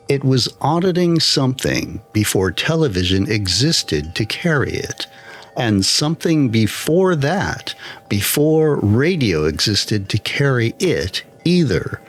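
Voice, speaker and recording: Casting: male narrator for horror fiction, unhurried and solemn, with lively pitch movement. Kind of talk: scripted first-person horror story narration. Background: background music.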